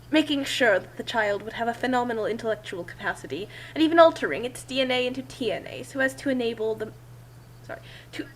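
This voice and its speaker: High-voiced woman reading aloud, noisy hum. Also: sharp tone. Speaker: reader and commentator. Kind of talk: animated story reading with casual asides.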